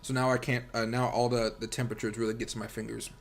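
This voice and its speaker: A man's voice: medium pitch, level tone, fast. An American man hosting a livestream with casual, rambling chat and commentary, speaking informally and directly to his viewers. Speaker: livestream host and crypto trader.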